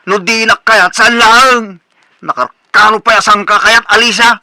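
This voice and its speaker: A man's voice: high voice, steady tone, unhurried, bright, forceful tone, dry recording, full character voice. A Filipino man playing an irritable young bachelor son in a comedic radio drama, curt and scolding. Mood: exasperated.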